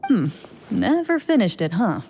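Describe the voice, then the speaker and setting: An actress playing a stern but caring young female hunter in a video game, speaking in a firm, measured way. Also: medium pitch, very animated, gravelly, darkish tone, a little hiss or hum. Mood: composed, encouraging.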